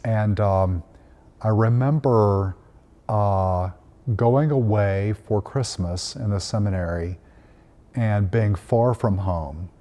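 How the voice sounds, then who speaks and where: Priest in his fifties from Tennessee, mellow low voice, gentle reflective storyteller. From Tennessee, United States. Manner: gentle and unhurried, frequent "uh" fillers. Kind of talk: reflective Christmas storytelling message.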